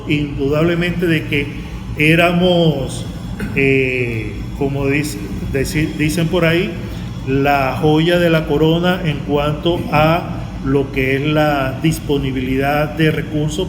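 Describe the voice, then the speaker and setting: A Venezuelan man, a university lecturer, giving his opinion in a panel interview in a halting, repetitive way. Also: fairly high pitch. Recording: very echoey hall with loud noise.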